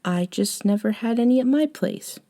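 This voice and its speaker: A woman playing a gentle, eccentric young woman, a caring friend, in an intimate ASMR audio roleplay, soft-spoken with hesitant pauses. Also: on the high side, lively intonation. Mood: wryly teasing.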